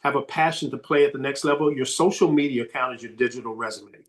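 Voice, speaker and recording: A man answering as a sports recruiting coach on a podcast, emphatic and repeating his key points, his voice slightly hoarse. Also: medium pitch, fast talker, a crowd in the background.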